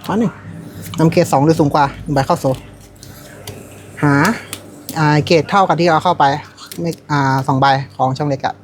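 A woman plays a trading card match at a shop table, calling out each move and damage total in short clipped bursts. Her voice sits low and there is a faint hiss and some clicking behind her. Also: fairly even intonation, moderate pace, pauses often. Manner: calls out moves and damage numbers in short bursts. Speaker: card game player. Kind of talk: casual play-by-play at a card table. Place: comic shop gaming table.

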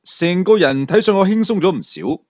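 A man's voice: high-pitched, very animated, normal speed, a little mellow, muffled recording. A man with a Hong Kong Cantonese accent narrating a thriller story dramatically as an audiobook storyteller.

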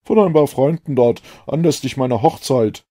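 Audiobook role: eager, pedantic young gentleman scientist, voiced by a man, effusive, raised pitch, slightly husky. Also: moderate pace, close to an everyday voice. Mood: eager, relieved. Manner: effusive, fussily precise.

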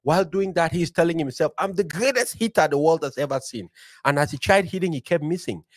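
A man in his forties, originally from Cameroon, speaking as a podcast guest in an animated, motivational style with frequent "right?" check-ins, recorded close on a studio mic. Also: on the high side, fairly quick, no room sound. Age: 40s. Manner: animated, preacher-like build-ups, frequent "right?". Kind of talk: energetic motivational storytelling. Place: bookshelf-lined podcast studio. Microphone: studio mic on boom arm.

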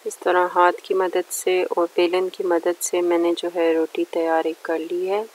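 A woman's voice: fairly low pitch, completely flat delivery, a little slow, darkish tone, rough recording. A woman narrating a recipe step by step in a plain, instructional way. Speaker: cooking show host.

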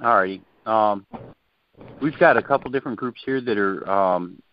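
A male caller from Ohio talking casually and haltingly over the phone. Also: fairly low pitch. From Ohio, United States. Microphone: telephone. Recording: muffled noisy phone line.

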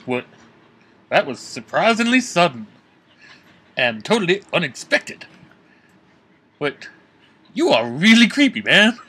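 A man playing a nervous, excitable, down-on-his-luck puppet in an improvised comedy puppet show, with a rambling, stammering, raspy delivery. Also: sits high, takes it slowly, pauses often, exaggerated voice. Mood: wary, haggling.

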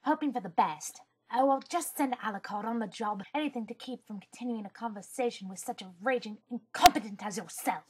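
A woman's voice: on the high side, brisk, hardly any pauses, a little mellow, a little hiss or hum, natural voice. A woman voicing a stern, haughty, withering commander in a parody cartoon. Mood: scornful. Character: stern, scornful aristocratic female commander. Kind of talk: fan-dub parody cartoon acting.

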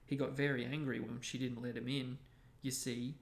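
A man's voice: medium pitch, flat delivery, moderate pace, vocal fry, natural voice. A man doing an oily, cackling, gleeful poltergeist. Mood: smug, taunting. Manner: mock-deferential, malicious.

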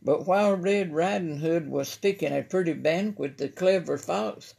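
A woman's voice: on the low side, normal speed, creaky, dark tone, slightly rough. A woman with a Southern American accent reading a children's story aloud as narration. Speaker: library story reader. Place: library room, indoors at a table.

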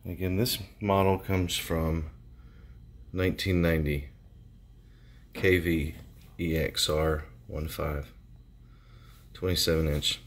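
A man's voice: extremely low pitch, level tone, takes it slowly, pauses often. An American man giving casual, folksy vlog commentary as the host of his own video. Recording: clear background noise.

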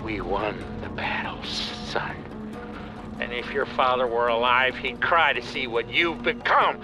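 A man playing a cantankerous old American veteran, gruff and scornful, scolding family. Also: mid-range voice, unhurried, close to an everyday voice. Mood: scornful, bitter. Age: older. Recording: music behind.

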